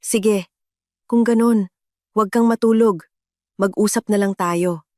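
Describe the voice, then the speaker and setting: A woman's voice: medium pitch, fairly even intonation, a little slow, warm tone, no echo, clean studio sound, pitched above the performer's own voice, natural voice. A woman voicing a protective middle-aged mother, tender with an angry edge. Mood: concerned.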